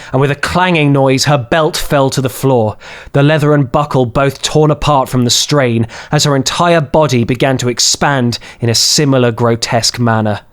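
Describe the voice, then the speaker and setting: A man reading scripted fantasy horror as an audiobook narrator, in a dramatic storytelling style. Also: mid-range voice.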